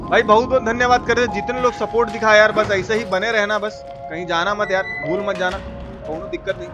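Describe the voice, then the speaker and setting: A man's voice: high-pitched, fairly even intonation, fairly quick, music in the background. A man with a Bihari Hindi accent doing colloquial, slangy live commentary on a gaming stream, swearing now and then. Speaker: game streamer. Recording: clearly noisy game stream audio.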